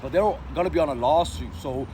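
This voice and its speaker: A man's voice: on the high side, fairly quick. Rambling slangy man, busy street. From Sri Lanka. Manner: rambling, slang-heavy, many fillers and restarts. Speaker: vlogger. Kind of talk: casual unscripted monologue. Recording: noisy street with passing cars.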